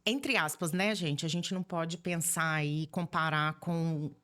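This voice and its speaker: Chatty Brazilian woman in her forties, lowish voice. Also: steady tone, a little slow, bright, forceful tone. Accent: Brazilian, from Minas Gerais. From Belo Horizonte, Minas Gerais, Brazil. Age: 40s. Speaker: podcast guest, holistic therapist. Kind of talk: casual conversational interview.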